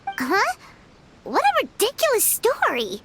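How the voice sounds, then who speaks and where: A woman voicing a small, excitable, talkative fairy companion with a childlike, exclamatory delivery in a video game. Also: very high voice, takes it slowly, slight background noise, full character voice. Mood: incredulous. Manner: refers to self in third person, exclamatory. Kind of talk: animated video game voice acting.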